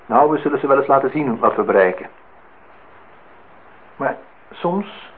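An actor playing a polite, reflective, wistful office worker in a Dutch radio drama, speaking formally and deliberately. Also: male voice, fairly high pitch, close to an everyday voice. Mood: driven, bitter. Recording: tinny, band-limited old radio recording, faint noise.

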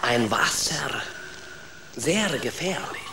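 An actor voicing a gruff, proud foreign rat with a Spanish accent and broken grammar, telling tales dramatically in a children's radio play. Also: male voice, medium pitch, animated, slow pace. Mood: ominous storytelling. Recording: echoey room with noticeable background noise.

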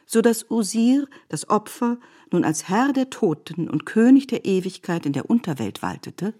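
Slightly low learned female narrator. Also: darkish tone. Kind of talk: literary scripted narration, radio play.

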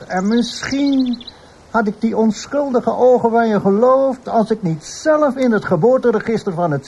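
An actor playing a menacing, insistent former lover with a taunting manner in a radio drama. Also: male voice, high-pitched, a little mellow, a little hiss or hum, exaggerated voice. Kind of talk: Dutch radio drama acting. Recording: faint outdoor ambience with birdsong. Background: birdsong.

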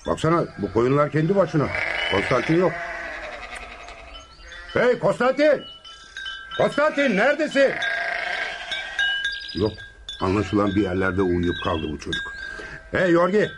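Boisterous hot-tempered father, wildly swinging pitch. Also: noisy background. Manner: theatrical, full of exclamations and grumbling. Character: boisterous hard-drinking Greek father, bullying and hot-tempered. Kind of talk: radio drama acting.

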